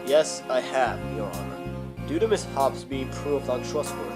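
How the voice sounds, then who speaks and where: A man playing a stern, confident prosecutor in a fan audio drama, speaking formally and forcefully. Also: medium pitch, lively intonation, moderate pace, natural voice. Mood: stern, assured. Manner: formal, declarative courtroom delivery. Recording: loud music bed under voice.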